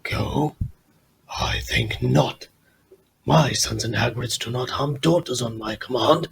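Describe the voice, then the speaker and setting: A man voicing an ancient, menacing giant spider patriarch with a gravelly voice in an audio drama outtake. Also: mid-range voice, slow pace, noisy background.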